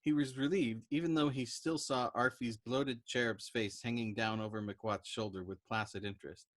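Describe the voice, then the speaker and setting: A man reads fiction aloud as a storyteller, with expressive narration. His voice sits at a medium pitch for a man, and the recording is dry and close. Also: normal speed, no echo. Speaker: storytime reader. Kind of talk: expressive audiobook narration of a novel.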